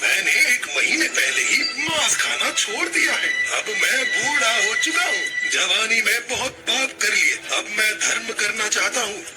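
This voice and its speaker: A man voicing a sly old male hawk in a children's cartoon, smooth-talking and falsely kindly. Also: sits high, lively intonation, unhurried, hardly any pauses, over loud music. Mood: pious, reassuring. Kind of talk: Hindi cartoon moral story acting.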